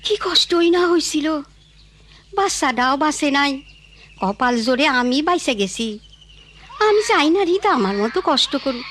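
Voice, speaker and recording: A woman's voice: sits high, animated, moderate pace, pauses often, fairly bright tone. A woman voicing a compassionate but firm old village grandmother in a cartoon, pleading and emphatic. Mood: sorrowful. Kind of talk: cartoon voice acting. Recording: clear background noise, animal and bird sounds.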